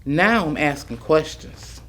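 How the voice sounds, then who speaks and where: An actress playing a steadfast older civil rights veteran, plainspoken and deliberate, in a staged reading with a creaky voice. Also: female voice, low-pitched, lively intonation, fairly bright tone, heavily acted, rough recording. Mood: reflective, resolute. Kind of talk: staged reading of oral history testimony.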